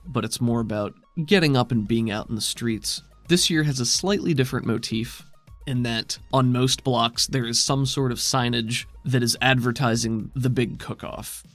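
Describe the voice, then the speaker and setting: A man's voice: mid-range voice, fairly bright tone. A man narrating a tabletop game as game master in a conversational, descriptive style. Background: music.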